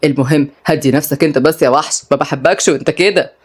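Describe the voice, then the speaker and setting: A cheeky younger brother with an Egyptian accent, low-voiced and joking, quick to placate when threatened. A woman plays him in a storybook. He talks fast. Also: breathy edge.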